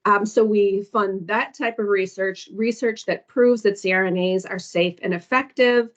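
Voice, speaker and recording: A woman's voice: medium pitch, fairly even intonation, normal speed. A woman on a conference call walking through slides for an orientation, hesitant and full of ums as she reads down lists. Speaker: foundation staff presenter. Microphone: conference call audio. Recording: dull lo-fi call recording.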